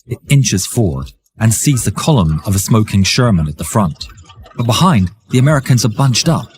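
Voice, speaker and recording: A man reading dramatic scripted war history narration in a theatrical, suspenseful way, with a husky, raspy voice. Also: on the low side, sing-song, moderate pace, a little mellow.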